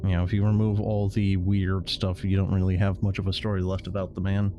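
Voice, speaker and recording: Man, low voice, rambling livestreamer, sardonic and sweary, faint background noise. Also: little pitch movement, brisk. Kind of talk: casual game stream commentary.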